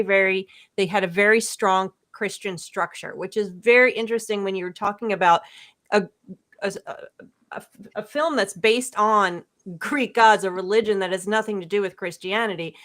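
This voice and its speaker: A woman's voice: mid-range voice, normal speed, a bit bright. Teasing, analytical woman co-host. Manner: teasing then earnest and analytical. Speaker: podcast co-host. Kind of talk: casual chat, film commentary.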